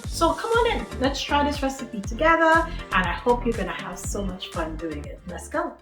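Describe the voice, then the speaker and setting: Woman from trinidad and tobago doing a cooking video, higher voice, chatty, echoey kitchen, soft music. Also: animated, moderate pace. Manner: warm, chatty, addresses viewers as friends. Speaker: cooking show host. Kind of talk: home cooking demonstration.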